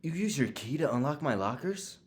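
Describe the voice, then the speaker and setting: A man's voice: fairly high pitch, big pitch swings, a little fast. A man co-hosting a podcast in casual chat, blunt and slangy with plenty of swearing. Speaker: podcast co-host.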